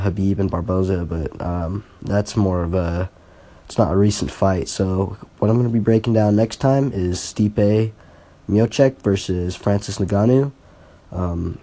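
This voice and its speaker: Hesitant teenage boy, low mellow voice. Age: teens. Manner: hesitant, many fillers and restarts; earnest, self-conscious. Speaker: podcast host. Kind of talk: casual solo podcast talk.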